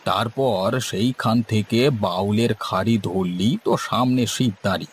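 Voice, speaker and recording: A man's voice: lowish voice, a little slow, natural voice. A man plays a seasoned river fisherman. He is boastful and folksy, instructing his junior like a know-it-all elder brother in a cartoon tale. Faint ambient noise sits behind him. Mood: assured, explaining. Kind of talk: Bengali cartoon story dialogue.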